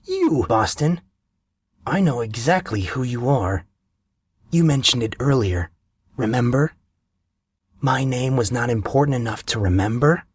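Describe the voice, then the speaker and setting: A man's voice: medium pitch, lively intonation, unhurried, long pauses, breathy edge, no echo, clean studio sound. A man voicing a furious young man who threatens a rival in a cold, clipped way. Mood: menacing.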